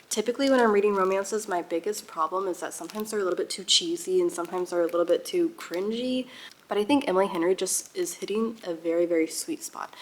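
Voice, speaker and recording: A woman's voice: mid-range voice, a bit bright. A young woman chatting casually to viewers as a book vlogger, with a gushing, giggly and emphatic manner. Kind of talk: casual reading vlog chat.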